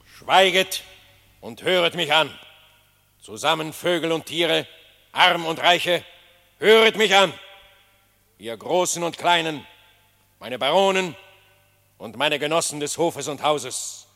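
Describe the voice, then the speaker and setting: An actor playing a solemn lion king in a classic radio play, declaiming verse to his animal court in a stately, deliberate manner. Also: on the high side, expressive, creaky, pushed and bright, heavily acted. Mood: solemn, commanding. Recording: long hall reverb.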